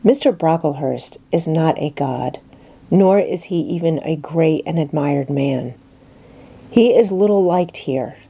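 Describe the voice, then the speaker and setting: A woman voicing a calm, pious schoolgirl friend in a storybook reading, measured, earnest and gently reproving. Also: sits low, husky, full character voice. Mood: calm, reassuring. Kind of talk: dramatised audiobook reading.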